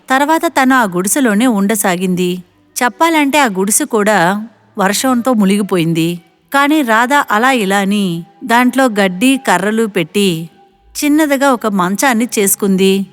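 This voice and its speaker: A woman's voice: medium pitch, lively intonation, normal speed, faint music behind. A woman narrating a scripted moral story.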